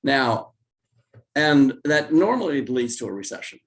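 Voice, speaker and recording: An older man with a hoarse, raspy voice while recovering from illness, explaining market charts as a guest on a video call. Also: sing-song. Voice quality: raspy, deeper than usual. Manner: measured, explanatory, with restarts. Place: home office indoors.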